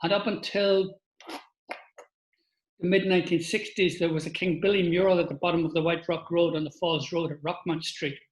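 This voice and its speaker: A man's voice: on the high side, fairly even intonation, normal speed, roomy sound, poor audio. An older man from Northern Ireland with a Northern Irish accent, giving an informal talk with a slideshow, chatty, with restarts and asides to his listeners.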